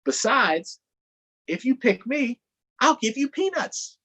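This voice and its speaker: A man's voice: very high-pitched, very animated, slow, fairly bright tone, slightly rough, voice pushed up, heavily acted. A man voicing a cute, vain, easily offended young elephant in a children's storybook. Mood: persuading.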